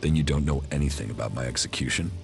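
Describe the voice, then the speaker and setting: An actor playing a gruff, cold military commander in a video game, terse and dryly sardonic, with a gravelly voice. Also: male voice, extremely low pitch, quick pace, faint music behind. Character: gruff, cold male alien-faction commander, curt and weary.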